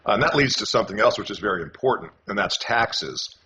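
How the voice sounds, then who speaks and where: An older man from California, a podcast guest, talking casually and haltingly with many restarts. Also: lowish voice, expressive. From California, USA. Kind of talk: casual interview answers. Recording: dull lo-fi call audio with faint noise.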